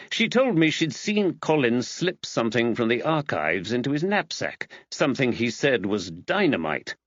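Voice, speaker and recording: Man acting an earnest, animated male character. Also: mid-range voice, no echo, dull audio. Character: earnest, oblivious man, mildly exasperated. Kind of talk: audiobook character dialogue.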